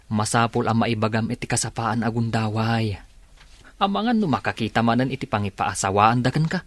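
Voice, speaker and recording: A man's voice: medium pitch, fairly quick, no echo, lightly acted. An actor with an Ilocano accent playing a concerned young man who urges his friend on in a radio drama. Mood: urging, concerned. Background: music.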